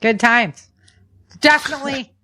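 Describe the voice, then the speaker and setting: A woman hosting a podcast, chatting casually to listeners in an animated, folksy way with informal asides. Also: high voice, unhurried. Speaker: podcast host. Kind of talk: casual news chat to listeners.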